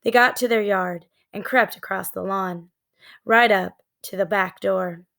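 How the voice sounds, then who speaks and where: A woman narrating a children's book in a deliberate, expressive storytelling manner. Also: medium pitch, gravelly. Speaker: read-aloud storyteller.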